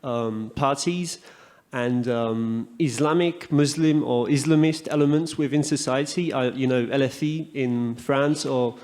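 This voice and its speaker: A man in a lecture audience, his voice at an ordinary middle pitch, puts a question to the speaker. He is polite and hesitant, with frequent ums as he searches for words and corrects himself. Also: a little slow, a little mellow. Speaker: audience member asking a question. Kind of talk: question from the floor. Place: lecture hall.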